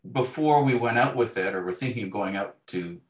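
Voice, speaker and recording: A man with a low voice talks casually as a guest in an interview. His phrasing is halting and full of hedges, and the recording sounds quite roomy and echoey. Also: lively intonation. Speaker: guest, novelist.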